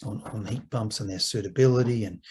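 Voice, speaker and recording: A man's voice: lowish voice, fairly quick, dark tone, a little hiss or hum. A man moderating a webinar informally, with encouraging, stop-start phrasing. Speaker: webinar host.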